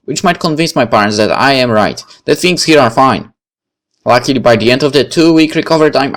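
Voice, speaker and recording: Man reading a story aloud, medium pitch. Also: a bit bright. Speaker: let's player reading a visual novel. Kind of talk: story narration read aloud.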